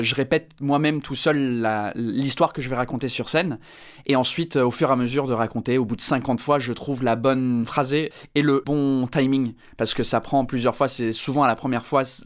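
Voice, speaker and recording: A man in his thirties from England, a stand-up comedian speaking French with an English accent, answering interview questions with many fillers and restarts. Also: medium pitch.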